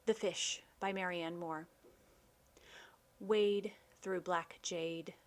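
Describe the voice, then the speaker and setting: A woman hosting a poetry program, giving scripted narration and reading verse in a measured, deliberate way. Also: lowish voice, steady tone. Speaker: host of a poetry radio program.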